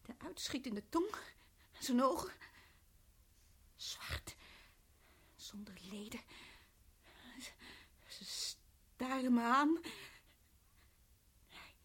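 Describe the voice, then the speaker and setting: An actress playing a fragile, terrified mother losing her mind in a radio horror play, halting and repetitive, often breathy. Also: high voice, lively intonation, fairly bright tone, full character voice. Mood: horrified, hushed dread. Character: fragile, terrified mother slipping into hallucinating madness. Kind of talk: radio horror drama acting. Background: piano music.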